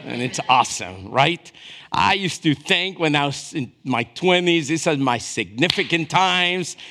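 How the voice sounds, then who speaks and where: A man in his fifties preaching a conversational sermon as a pastor, animated, swinging between hushed asides and raised emphasis and checking in with his listeners. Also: a little high, measured pace. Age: 50s. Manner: checks in with listeners through short questions.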